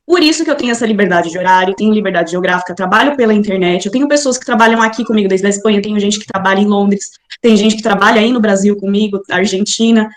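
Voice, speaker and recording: A woman's voice: mid-range voice, fast talker, few pauses, roomy sound. A Brazilian woman with a Brazilian Portuguese accent, chatting as an interview guest over a video call.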